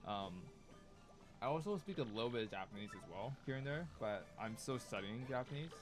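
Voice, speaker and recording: A man's voice: mid-range voice, expressive, fairly quick. Chatty man, game music behind. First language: Cantonese. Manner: chatty, thinking out loud. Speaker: gaming streamer. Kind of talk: casual commentary while playing. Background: video game music.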